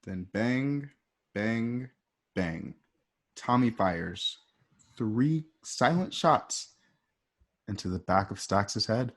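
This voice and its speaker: A man's voice: on the low side, animated, very slow, pauses often. A man with a conversational, colloquial manner, narrating the action of a radio play in a casual style.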